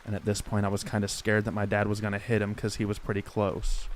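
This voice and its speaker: A man hosting a channel and calmly narrating true scary stories for sleep. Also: fairly low pitch, fast talker, slight background noise. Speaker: host and horror narrator. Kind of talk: calm sleep-story narration.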